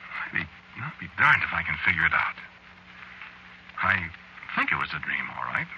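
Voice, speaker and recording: An actor playing a shaken man haunted by a nightmare, confiding haltingly and conversationally in 1940s radio drama style on an old recording. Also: sits low, sing-song, sharp tone, dull audio, a little hiss or hum, heavily acted. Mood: uneasy, confiding.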